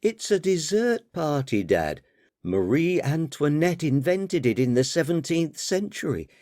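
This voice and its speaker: A man's voice: a little high, very animated, a little mellow, no echo, clean studio sound, voice pushed up. Give me a bubbly, nagging young woman, put on by a man reading a story, voice jumping all over. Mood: chirpy scolding. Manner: chirpy, nagging, quick to scold. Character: lively young adult daughter, bubbly and bossy.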